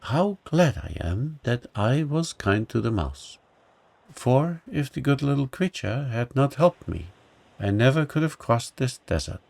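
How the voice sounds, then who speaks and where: A man's voice: medium pitch, big pitch swings, unhurried, vocal fry, darkish tone, voice pushed up, exaggerated voice. A man voicing a polite, timid little girl in a storybook reading, speaking earnestly. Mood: grateful.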